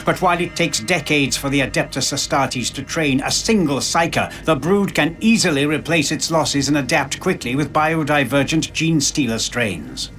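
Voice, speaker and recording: Higher-pitched man narrating grave game story. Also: expressive, moderate pace, hardly any pauses, fairly bright tone, faint music behind. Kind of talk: dramatic scripted game narration.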